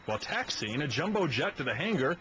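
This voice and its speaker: A male American TV reporter narrates a news package in a highish, very animated voice. The sound is slightly dull with faint noise. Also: fairly quick.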